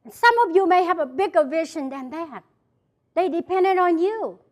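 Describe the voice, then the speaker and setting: A woman's voice: extremely high pitch, expressive, moderate pace, darkish tone. A woman from South Vietnam with a Vietnamese accent, giving an emphatic motivational speech to a live audience and repeating key phrases. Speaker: motivational speaker. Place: event hall before an audience.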